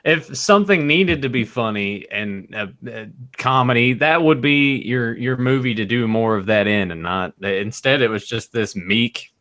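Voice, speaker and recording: A man's voice: medium pitch, normal speed, few pauses, a bit bright. A man co-hosting a podcast, chatting casually about movies in a blunt way with plenty of swearing. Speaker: podcast co-host.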